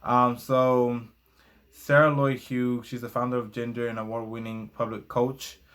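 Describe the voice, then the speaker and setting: A man's voice: medium pitch, level tone, unhurried, a bit bright, smooth. A young man whose first language is Spanish reviews a book in a casual vlog, hesitating often with fillers and glancing at his notes.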